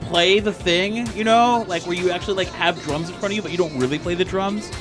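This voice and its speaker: A man who talks in a rambling, excitable, profane way as a player in a casual tabletop role-playing game. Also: high-pitched, fairly quick. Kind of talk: casual improvised tabletop role-play chat. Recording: music behind the voice. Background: music.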